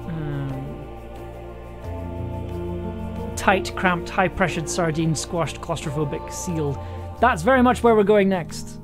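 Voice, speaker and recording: A woman chatting casually on a livestream as she writes aloud, halting and repeating herself as she thinks. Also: low voice. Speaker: audio drama writer and live streamer. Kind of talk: casual livestream chat while writing aloud. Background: music.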